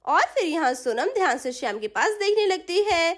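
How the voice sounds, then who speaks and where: A woman's voice: extremely high pitch, very animated, moderate pace. A woman narrating a scripted children's story as the storyteller.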